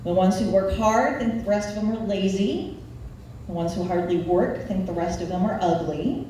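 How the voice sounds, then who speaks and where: A woman's voice: mid-range voice, normal speed, dark tone. Echoey noisy room, midwestern woman in her 40s doing standup, wry and self-deprecating, takes her time. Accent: Nebraska Midwestern American. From Nebraska, United States. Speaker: stand-up comedian. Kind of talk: live stand-up comedy routine. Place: live comedy venue. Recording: echoey room, distant mic, background noise.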